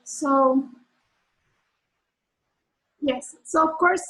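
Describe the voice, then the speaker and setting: Argentine lady in her 40s, spanish is her first language, teaching over video call, high voice, lots of ums and restarts. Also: a bit bright, rough recording. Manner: earnest, halting, with many fillers and restarts.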